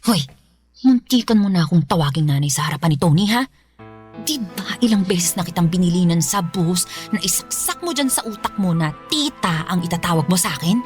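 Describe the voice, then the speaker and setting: A woman's voice: on the low side, expressive, fairly quick, soft background music, close to an everyday voice. A woman voicing a scheming, cruel middle-aged mother who poses as an aunt, in a radio drama. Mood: scolding. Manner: sugary to husband, cruel and scolding to niece.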